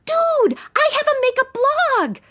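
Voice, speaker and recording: A woman's voice: very high-pitched, sing-song, slow, bright, forceful tone, exaggerated voice. A woman playing a slangy, blunt, sarcastic modern young woman who is a detective's sidekick in a comedy audio drama. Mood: wry, questioning.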